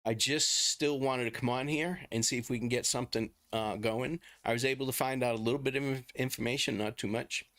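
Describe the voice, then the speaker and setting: A middle-aged man with a Boston accent talks casually and haltingly to viewers as a livestream host. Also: mid-range voice, steady tone, fairly bright tone. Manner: informal, chatty, halting.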